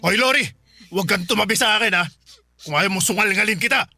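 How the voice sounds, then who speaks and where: A man's voice: high voice, fast talker, exaggerated voice. A man acting an angry, domineering middle-aged husband and father in a Filipino radio drama, scolding and threatening. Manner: scolding, shouting. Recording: music behind the voice.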